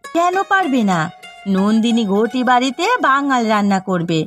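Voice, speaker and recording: A woman's voice: a little high, very animated, normal speed, fairly bright tone. A woman playing an outspoken, supportive village matchmaker in a cartoon, coaxing and insistent in a melodramatic way. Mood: persuading. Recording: music behind the voice.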